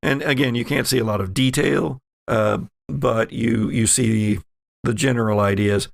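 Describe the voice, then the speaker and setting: A man runs a tabletop horror game as game master, his voice creaky and closely recorded with a dry sound. He sets out each scene in a halting, deliberate manner. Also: medium pitch, steady tone, dry recording.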